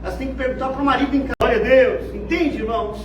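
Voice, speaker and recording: A Brazilian man with a Brazilian Portuguese accent preaching a fervent sermon, dropping to low murmurs and rising to shouts. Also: fast. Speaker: pastor. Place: church. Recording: echoey, distant, noisy church hall.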